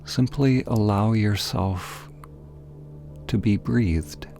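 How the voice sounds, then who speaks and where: Slow, low-voiced man guiding meditation. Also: gravelly, faint music behind. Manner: calm, gentle, deliberate phrasing with pauses. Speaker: meditation guide. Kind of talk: guided meditation narration.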